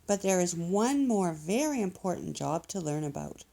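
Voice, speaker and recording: A woman's voice: fairly low pitch, big pitch swings, normal speed, gravelly, warm tone, exaggerated voice. A woman voicing an enthusiastic male professor bear who explains things in an encouraging, emphatic way, like a children's storybook guide. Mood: earnest, encouraging.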